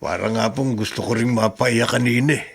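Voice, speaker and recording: A man's voice: mid-range voice, a little fast, gravelly, breathy edge. A man playing a loyal, deferential driver in a Filipino radio drama, respectful and hesitant, with a Filipino accent. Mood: sympathetic. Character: loyal, deferential driver consoling his boss.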